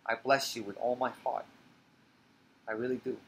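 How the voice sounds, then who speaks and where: A man's voice: mid-range voice, little pitch movement, normal speed, creaky, slight background noise, natural voice, rough recording. A man playing a drunken old woman in a stage play, coarse, sentimental and doting, rambling as she talks. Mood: doting, maudlin. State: acting drunk.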